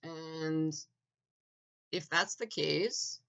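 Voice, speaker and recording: A man giving a blunt, argumentative opinion monologue, full of "like" fillers and restarts, clearing his throat now and then. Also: on the high side, extremely slow, pushed and bright. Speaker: opinion vlogger.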